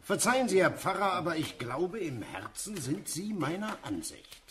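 An actor playing a genial but cynical army officer in a radio drama, amused and condescending. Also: male voice, a little high, very animated, normal speed, crowd noise. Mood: teasing. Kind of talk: radio drama acting in German.

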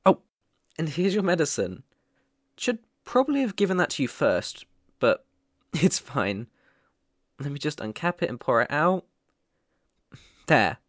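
Man acting a slow, gentle, playful boyfriend. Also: a little high, big pitch swings, no room sound, clean studio sound, exaggerated voice. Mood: cheerful, caring. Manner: gentle, soothing, affectionate, chuckling often. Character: caring, playful young boyfriend tending his sick partner. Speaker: ASMR roleplay performer. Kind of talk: ASMR boyfriend roleplay, intimate. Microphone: close microphone, dry.

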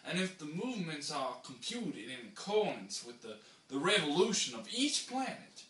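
A young man with a bright, swooping voice reads a formal old text theatrically. His phrasing halts and restarts for effect. The sound is roomy and distant, from a camera across a small room. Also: on the high side, very animated, normal speed, slight background noise. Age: young adult. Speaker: reader taking turns in an informal group reading. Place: small bare room indoors. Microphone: camera mic from a few metres.

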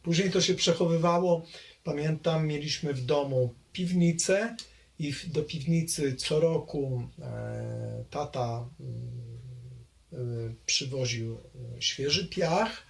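A man's voice: fairly high pitch, slow pace, vocal fry. A man, recalling his childhood in an oral history interview, hesitating often with fillers and restarts. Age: 60s.

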